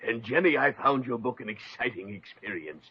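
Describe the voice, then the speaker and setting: A flustered, overeager suitor of a publisher in an old radio comedy. His effusive, theatrical voice leaps up and down and sounds slightly husky, on a dull vintage recording. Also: mid-range voice, expressive, normal speed. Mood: amorous, gushing. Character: flustered, amorous male book publisher, comically overeager suitor. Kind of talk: 1950s radio comedy acting.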